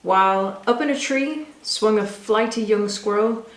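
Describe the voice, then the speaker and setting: Slow-paced woman reading in an echoey room. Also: medium pitch. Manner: expressive, rhythmic rhyming delivery. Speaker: zookeeper reading a children's story. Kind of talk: scripted storybook narration for children.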